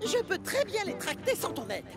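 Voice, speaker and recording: A young male steam engine in a children's cartoon. The voice is high and boyish, with a plucky, eager, earnest delivery over clear music. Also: wildly swooping pitch, moderate pace, exaggerated voice. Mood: indignant. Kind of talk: children's cartoon dub acting.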